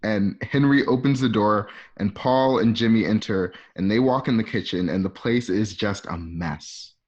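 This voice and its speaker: A man's voice: on the low side, moderate pace, darkish tone. A man reading stage directions as narrator for a radio play over a dull online call. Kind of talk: scripted narration. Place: online live stream call.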